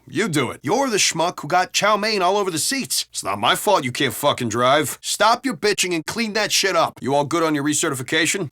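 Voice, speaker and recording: An actor playing a foul-mouthed, sardonic and excitable American city cop in a video game. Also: male voice, a little high, dry recording, studio quality. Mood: grumbling. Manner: animated, sardonic, profane cop banter. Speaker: video game police officer. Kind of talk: game voice lines, banter and barks.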